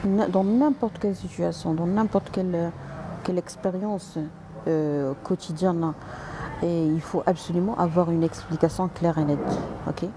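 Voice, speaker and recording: A woman's voice: fairly low pitch, steady tone, measured pace, background noise. A woman doctor answering viewers' comments on a live stream, polite but direct, with frequent hesitations and restarts, slipping in English and Arabic words.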